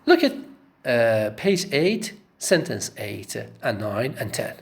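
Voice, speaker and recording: A man's voice: medium pitch, big pitch swings, takes it slowly, creaky. A male lecturer taking students through a course text, repeating key phrases and checking in with "okay?". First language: not Arabic. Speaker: linguistics lecturer.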